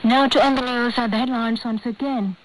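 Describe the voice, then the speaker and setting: A woman's voice: fairly high pitch, fairly even intonation, a little fast, slight background noise, low-quality recording. A woman reading scripted international news as a radio newsreader on a shortwave broadcast.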